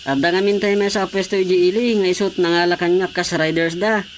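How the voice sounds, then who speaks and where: A woman's voice: on the low side, fairly even intonation, brisk, a little hiss or hum, lightly acted. An actress playing an out-of-work woman who chats easily with the councilman in a radio drama. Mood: resigned.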